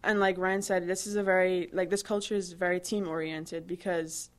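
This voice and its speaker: A woman's voice: lowish voice, little pitch movement, normal speed. A woman in her twenties from Barbados, answering interview questions in a chatty way, with many fillers and restarts. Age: 20s. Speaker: interviewee, new employee.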